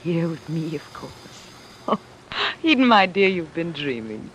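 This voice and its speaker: An actress playing a devoted, anxious lover of a fallen ruler, earnest and theatrical in old radio drama style. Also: female voice, low-pitched, very animated, slow pace, a bit bright, full character voice. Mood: tender. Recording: noisy vintage radio broadcast.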